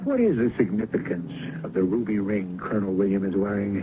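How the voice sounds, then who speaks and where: A man hosting a radio mystery, narrating in a measured, suspenseful, portentous style. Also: fairly low pitch. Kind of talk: dramatic scripted radio narration. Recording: muffled old radio recording, music.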